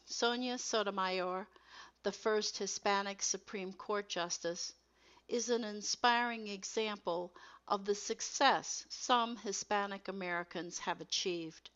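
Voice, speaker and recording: A woman narrates educational material from a script at a middle pitch. She reads in a measured manner with careful enunciation, like a textbook narrator. The recording is a little dull and lo-fi. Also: fairly bright tone.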